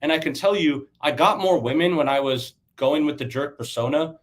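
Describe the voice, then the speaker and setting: A man in his thirties, stuffy and a little raspy from a sinus infection, giving loose conversational dating advice as a coach and often checking in with "right?". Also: on the high side, moderate pace. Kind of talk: casual livestream coaching talk.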